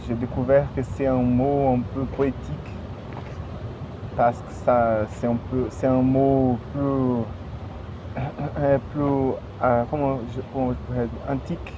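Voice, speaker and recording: A man speaks slowly and haltingly, pausing often to search for the right word. His voice sits in a middle pitch. The recording is dull and lo-fi, made outdoors with clear traffic noise from the street around him. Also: flat delivery. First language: Portuguese. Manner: halting, searching for words, many fillers. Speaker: language learner. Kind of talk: casual vlog monologue.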